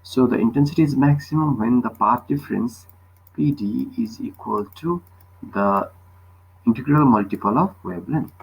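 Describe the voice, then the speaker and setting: Guy teaching physics step by step, raspy voice w lots of fry, keeps tossing in little check questions. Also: medium pitch, moderate pace, low-quality recording. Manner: instructional, punctuated with short check questions. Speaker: physics tutor. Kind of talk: step-by-step worked problem explanation.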